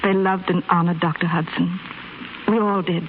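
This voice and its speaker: An actress playing a firm, crisp head nurse in 1930s radio drama style. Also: female voice, on the low side, steady tone, moderate pace, natural voice. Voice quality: crisp, no-nonsense. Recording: tinny, faintly hissy 1930s radio broadcast.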